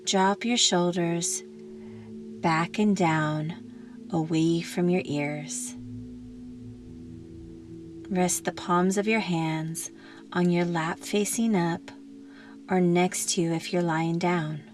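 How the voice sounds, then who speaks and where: Female meditation guide: lowish pitch, very slow pace, gentle and calming instruction with generous pauses. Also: soft background music. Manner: gentle, soothing, unhurried instruction with long pauses.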